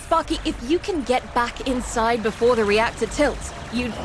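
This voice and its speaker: An urgent, quick-thinking female ally from a video game, voiced by an actress. Her voice is fairly high, with music clearly behind it. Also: close to an everyday voice. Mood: urgent realisation. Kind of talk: video game character acting.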